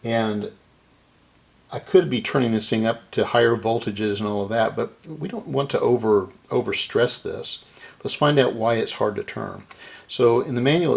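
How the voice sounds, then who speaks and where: A man's voice: lowish voice, gravelly. A man giving a casual hands-on repair narration, explaining and thinking aloud as he works. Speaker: repair video host.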